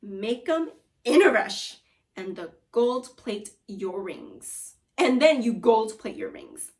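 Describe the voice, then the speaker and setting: A woman voicing a friendly young man, a wizard short-order cook with brisk, folksy patter, in a children's storybook. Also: fairly high pitch, very animated, a little mellow, recorded from a distance, full character voice. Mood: brisk, instructing. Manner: showy, folksy, punning patter. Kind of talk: children's storybook read-aloud.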